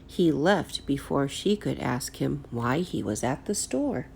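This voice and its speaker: A woman's voice: extremely low pitch, expressive, unhurried, vocal fry, a little mellow, a little hiss or hum. A woman teacher reading a picture book aloud in a careful storytelling style for children. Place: indoors at home, echoey room. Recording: roomy, echoey home recording.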